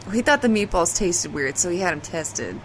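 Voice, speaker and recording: A woman's voice: on the low side, animated, brisk, slight background noise, lightly acted, poor audio. An actress playing a brash, sardonic woman in a casual, slangy comedy audio drama. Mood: dry, casual. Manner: sarcastic, casual, slangy, swearing. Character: brash, foul-mouthed, sardonic woman from another timeline.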